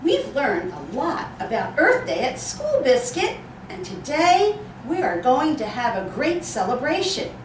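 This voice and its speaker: A woman reading a cheerful little girl who talks gently to her puppy in a sing-song way. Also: sits high, wildly swooping pitch, measured pace, a little hiss or hum, full character voice. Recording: roomy echo, distant mic.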